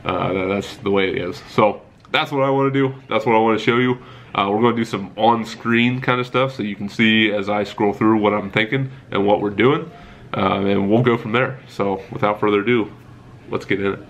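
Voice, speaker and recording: A man's voice: on the low side, a little fast, a bit bright, a little hiss or hum. A young man with a cold and a stuffy nose, casually walking viewers through his thinking as a farmer vlogger, with lots of ums and self-corrections. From Midwestern United States. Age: young adult. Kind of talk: casual walkthrough talk to viewers.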